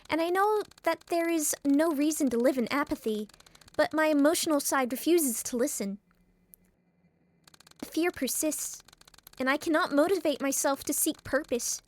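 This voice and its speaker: High-pitched brooding schoolgirl, acted by a woman. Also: natural voice. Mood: troubled, subdued. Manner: articulate, formal, brooding monologue delivery. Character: gloomy philosophical schoolgirl, existentially anxious, articulate. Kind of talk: fan dub of a dating sim game.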